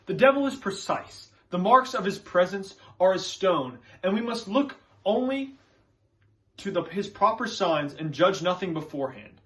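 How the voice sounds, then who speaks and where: A man's voice: high voice, expressive, moderate pace, pushed and bright, voice pushed up. A man performing stage monologues as an earnest, scholarly witch-hunting reverend, with emphatic, preacherly delivery and formal archaic phrasing. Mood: stern, instructing.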